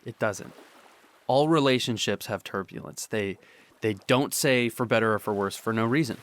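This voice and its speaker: Guilt-torn young boyfriend, played by a man, fairly low voice. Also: close to an everyday voice. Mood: pleading, earnest. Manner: halting, repetitive, insistent confession. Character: guilt-torn young boyfriend, earnestly pleading his faithfulness. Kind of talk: dramatic audio monologue.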